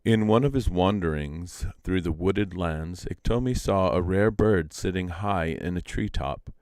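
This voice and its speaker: Deep-voiced man reading a storybook aloud. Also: unhurried, a little mellow, no room sound, clean studio sound. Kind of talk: live storybook narration.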